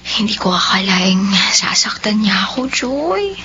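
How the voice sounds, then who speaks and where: An actress playing a gentle young niece who has been wronged, pleading and tearful. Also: female voice, mid-range voice, very animated, unhurried. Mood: hurt, quiet. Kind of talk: radio-drama acting. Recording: muffled lo-fi recording, faint hum.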